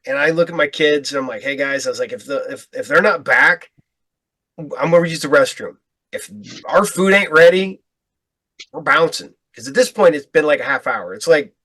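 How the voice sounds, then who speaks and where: A man in his late forties hosts a relaxed podcast conversation and tells a meandering story full of restarts and asides. His voice sits a little high for a man. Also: no room sound, low-quality recording. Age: 40s. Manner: rambling storyteller, stumbling restarts. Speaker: podcast host. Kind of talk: casual chat.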